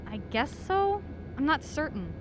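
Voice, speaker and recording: An actress playing a practical, breezily unflappable traveler with brisk banter in a comic radio drama. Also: female voice, high-pitched, big pitch swings, rough recording. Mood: curious, breezy. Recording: clear vehicle noise behind.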